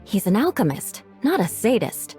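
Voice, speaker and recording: A principled woman from a fantasy video game, voiced by an actress. Her mid-range voice is expressive, with faint music underneath. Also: mid-range voice, moderate pace, lightly acted. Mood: firm. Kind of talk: English video game voice acting.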